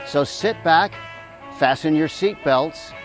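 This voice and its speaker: A man's voice: on the high side, slow pace. Man introducing radio drama over music. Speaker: host, announcer.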